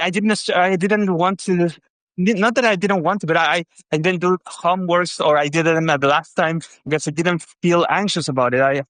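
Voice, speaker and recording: A man's voice: a little high, fairly bright tone, no room sound. A man in his thirties with a Chilean Spanish accent, explaining himself to a host in hesitant second-language speech. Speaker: caller. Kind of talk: conversational, explaining.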